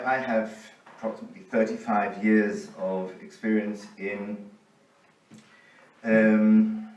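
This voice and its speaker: A man's voice: fairly low pitch, a little hiss or hum. Bearded man lecturing in an echoey hall. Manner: dry humor, reading a paper for a colleague. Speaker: conference speaker. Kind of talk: academic conference talk. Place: conference hall. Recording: roomy hall, voice from a distance.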